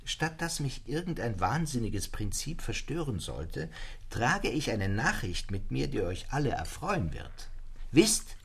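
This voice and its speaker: A man's voice: medium pitch, very animated, measured pace, creaky, breathy edge, dry recording, full character voice. An actor playing a Romantic literary storyteller who tells scripted ghost tales to his friends. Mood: eager, reassuring.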